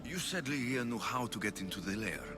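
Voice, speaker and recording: An actor playing a calm, determined warrior hero in a video game cutscene, speaking in a steady, measured way. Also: male voice, medium pitch, over music, close to an everyday voice. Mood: resolute. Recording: game cutscene with loud score behind.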